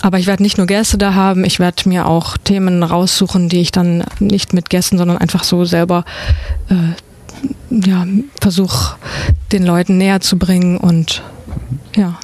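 A woman's voice: fairly low pitch, level tone, normal speed, a little mellow, dry recording. Middle-aged woman, dry close studio sound. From Brandenburg, Germany. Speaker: studio guest, new radio show host. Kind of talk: casual radio interview talk. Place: radio studio. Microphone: close studio microphone.

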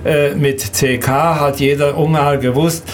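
An elderly man who grew up in Serbia and Hungary, recounting his life in an interview in a halting, hesitant way with repeated words. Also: a little high, steady tone, slight background noise. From Danube Swabian from Serbia and Hungary. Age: 70s or older. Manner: halting, hesitant, repeats words, often ends with a questioning tag.

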